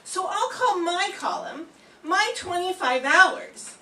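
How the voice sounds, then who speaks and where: A woman's voice: very high-pitched, animated, takes it slowly, sharp tone, far from the mic, exaggerated voice. An older woman playing Irma, a naive, ditzy young woman, in a staged 1940s radio comedy reading. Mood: airheaded. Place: college classroom stage. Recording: roomy hall, heard from afar, faint hiss.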